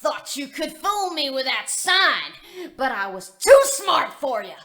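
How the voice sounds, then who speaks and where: A feisty old-hen animatronic for a game. The voice is high and bright, with folksy, taunting swings of pitch and plenty of smug sass. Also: female voice, sing-song, measured pace, exaggerated voice. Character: sassy, feisty female animatronic bird, smugly vengeful.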